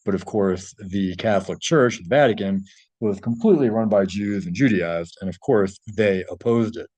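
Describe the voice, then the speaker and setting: A man's voice: on the low side, moderate pace, a little mellow, breathy edge, dry recording. A man reading a translated article aloud and adding his own informal commentary.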